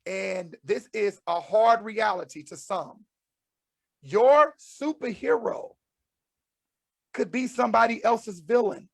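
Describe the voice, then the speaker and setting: A man's voice: high voice, very animated, slow, creaky, no room sound. A man hosting a casual group chat on a spoken-word show, preachy and teasing by turns. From St. Louis. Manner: preachy and teasing, calls people out with jokes. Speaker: host.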